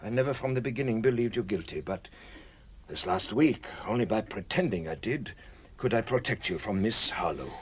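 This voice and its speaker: Husky, expressive detective. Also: male voice, fairly low pitch. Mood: gentle, apologetic. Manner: formal, theatrical, measured explaining. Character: shrewd, courteous French police detective. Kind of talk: radio drama acting.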